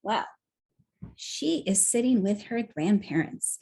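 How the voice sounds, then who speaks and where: Female, 40s, mid-pitched, explaining a picture book to children from a room at home. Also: expressive, slow pace, vocal fry, mellow. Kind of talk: presenting her book to camera for children.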